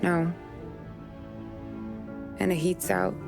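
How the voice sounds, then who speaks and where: A woman's voice: lowish voice, flat delivery, drawn-out words, creaky, music in the background, full character voice. A woman playing a tough, caring young working-class woman in a game cutscene, with an American accent and a dry, plainspoken manner. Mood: bossy, dry. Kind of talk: video game cutscene acting.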